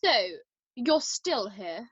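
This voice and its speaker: A woman's voice: on the high side, very animated, takes it slowly, husky, dry recording, exaggerated voice. A woman playing a jilted young society woman in an online play reading, arch and barbed, on a lo-fi connection. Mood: catty.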